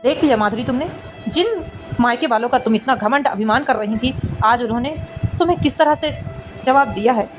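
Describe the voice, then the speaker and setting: A woman's voice: on the high side, fairly quick, music in the background, natural voice. A woman voicing an exasperated but supportive husband in a family cartoon melodrama, earnest and reproachful.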